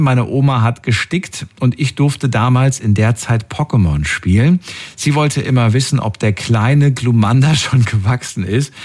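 A man hosting a call-in radio show, reading out listener messages and chatting with callers in a lively way. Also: medium pitch, breathy edge. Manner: chatty, animated, quick reactions.

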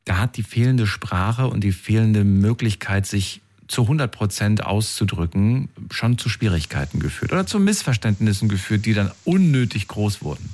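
A man's voice: on the low side, expressive, normal speed, few pauses, darkish tone. A man interviewing someone in a conversational, informal way, often adding little tag questions. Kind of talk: conversational interview about personal experiences.

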